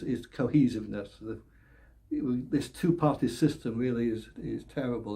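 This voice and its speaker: An older man from the north of England, a political candidate answering interview questions in a halting way, often repeating words, with small laughs. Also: medium pitch, dark tone. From England, born Leeds, raised Oldham. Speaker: election candidate.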